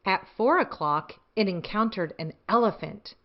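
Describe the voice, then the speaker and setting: A woman's voice: mid-range voice, animated, normal speed, reverberant, dull audio. A woman hosting a children's storytime, reading aloud expressively and chatting enthusiastically with young listeners. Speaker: children's storytime host and reader.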